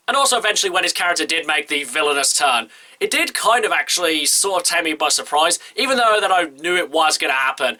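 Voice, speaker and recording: A young man reviews a film in a chatty, eager vlog, his nose stuffy from a cold. He talks very fast, with a bright, forceful tone that sits a little high for a man. The sentences run on in quick bursts. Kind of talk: casual movie review to camera.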